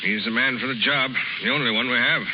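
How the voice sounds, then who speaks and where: A man playing a stuffy, disapproving town civic leader with gruff formality, in 1930s radio style. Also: mid-range voice, fast, close to an everyday voice. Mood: gruff, scornful. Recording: noisy, muffled 1930s radio recording.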